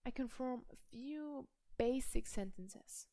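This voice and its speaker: A young woman from Germany with a German accent, speaking slowly and carefully to the camera in a personal language vlog. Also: high voice, mellow, no room sound. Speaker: language vlogger. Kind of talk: slow personal vlog talk.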